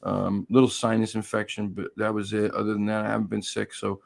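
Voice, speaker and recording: A hoarse man hosting a casual live stream, chatty and greeting viewers by name. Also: lowish voice, completely flat delivery, fairly quick. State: hoarse, losing his voice.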